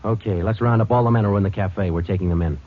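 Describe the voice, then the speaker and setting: An actor with an American accent playing a terse, stoic homicide sergeant, deadpan and clipped, narrating an old radio police drama. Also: male voice, fairly low pitch, very fast, lightly acted. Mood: businesslike. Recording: muffled old radio recording with music.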